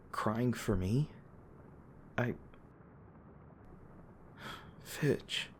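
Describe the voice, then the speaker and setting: A man playing a gruff, guilt-ridden monster hunter with a tender side, speaking haltingly and emotionally in an intimate audio roleplay. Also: fairly low pitch. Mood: concerned, tender. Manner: halting, stammering, emotional, swearing. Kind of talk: intimate dramatic audio roleplay addressed to listener. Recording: noticeable background noise and ambience.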